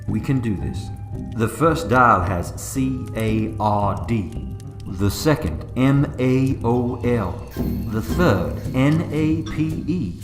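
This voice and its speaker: An actor playing a cautious, clever preacher on an adventure in a radio drama, speaking with careful, dryly exasperated patience. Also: male voice, medium pitch, animated, slow pace. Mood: careful, thoughtful. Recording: roomy echo, music underneath. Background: music.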